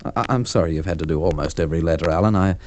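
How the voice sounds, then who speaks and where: A man's voice: low-pitched, rapid-fire, darkish tone, lightly acted. A man playing a genial, apologetic, slightly flustered business partner in a mid-century radio drama. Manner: chatty, apologetic. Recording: noisy vintage radio recording.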